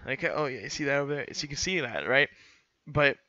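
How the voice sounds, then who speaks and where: A man's voice: mid-range voice, animated, a little fast, bright, forceful tone, no room sound, lo-fi sound. A young man explaining a how-to in a casual, rambling way, full of fillers and restarts, on a headset mic in a small room. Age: young adult. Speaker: student pilot vlogger. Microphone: headset boom mic.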